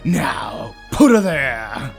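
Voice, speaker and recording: A man voicing a flamboyant, sinister demon tempter in a roleplay monologue, taunting the listener with theatrical, drawn-out emphasis. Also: medium pitch, big pitch swings, gravelly, full character voice. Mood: gleeful. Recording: background music under the voice. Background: orchestral waltz-style music.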